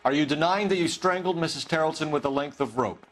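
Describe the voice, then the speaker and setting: An actor playing an earnest, by-the-book 1940s American police detective in a video game, questioning in a firm, clipped, formal way. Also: male voice, fairly high pitch, fast talker, faint music behind, natural voice. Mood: firm, accusing. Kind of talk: video game cutscene acting, police interrogation.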